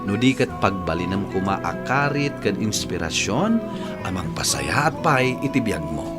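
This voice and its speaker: An actor playing a reflective man telling his life story in a radio drama, measured and sincere, with an Ilocano Filipino accent. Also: on the low side, big pitch swings. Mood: reflective, thankful. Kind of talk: dramatic first-person narration. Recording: music behind narration.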